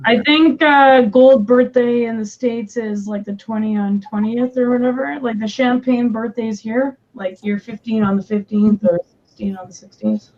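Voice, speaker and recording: A woman chatting casually in a group stream, giggly and quick with asides. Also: a little high, steady tone, darkish tone. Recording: lo-fi mic.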